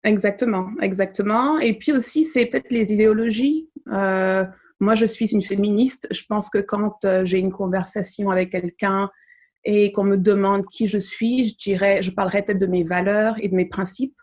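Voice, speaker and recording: A woman's voice: mid-range voice, fairly even intonation, normal speed, darkish tone. A young woman from Mali, a podcast guest talking casually and thoughtfully, with many hesitations. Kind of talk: casual interview chat.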